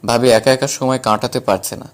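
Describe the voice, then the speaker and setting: A man's voice: mid-range voice, fairly even intonation, fairly quick, faint music behind, lightly acted. A man playing an assertive, caring younger brother in an audio story drama. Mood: insistent, reassuring.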